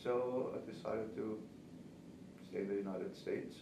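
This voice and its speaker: Distant echoey recording with clear noise, older man, Austrian accent, native German speaker, conversational, reminiscing. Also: fairly low pitch, steady tone, measured pace. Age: 70s. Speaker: pastry chef and cake instructor. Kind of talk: conversational interview answers, reminiscing. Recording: distant, roomy, noisy recording.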